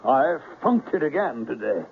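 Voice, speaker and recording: An actor playing a nervous, hard-drinking, cowardly gentleman in a 1970s radio drama, overwrought and stammering. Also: medium pitch, very animated, moderate pace, vocal fry. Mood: ashamed. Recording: tinny, noisy 1970s radio recording.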